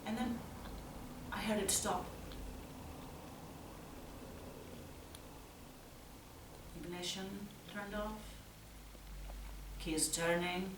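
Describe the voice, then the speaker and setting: A woman acting a tense, troubled youth haunted by violent parents in a halting, intense stage monologue. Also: medium pitch, fairly even intonation, lightly acted. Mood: uneasy recollection. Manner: slow, halting, intense, from hushed to loud. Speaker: actress performing a solo monologue. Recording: roomy, distant mic, faint noise.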